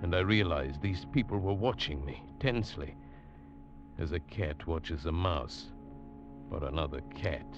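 Casting: deep-voiced haunted male traveler, intense first-person radio thriller narration, dull vintage recording with prominent music. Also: unhurried. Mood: tense, uneasy. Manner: intense, hesitant, dramatic. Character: haunted, bewildered male English traveler telling his eerie tale.

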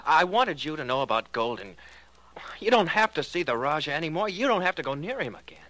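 An actor playing a blunt, defensive fiancé in a 1940s radio drama, speaking in a direct, insistent, plainspoken way. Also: male voice, on the high side, big pitch swings, brisk, pushed and bright, no room sound, low-quality recording. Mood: urgent, persuasive.

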